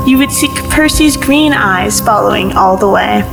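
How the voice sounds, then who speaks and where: A woman's voice: mid-range voice, animated, normal speed, gravelly, over music, low-quality recording. A woman acting as a florid, whimsical storyteller narrating a mythic audio play in a dramatic manner. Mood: knowing.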